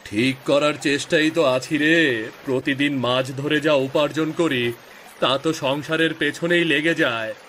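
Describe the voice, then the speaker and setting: A man's voice: a little high, steady tone, measured pace, fairly bright tone, a little hiss or hum. A man playing a weary, regretful village fisherman in a cartoon story, lamenting and sighing. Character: weary, regretful fisherman, husband of two wives. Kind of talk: scripted cartoon story acting. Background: music.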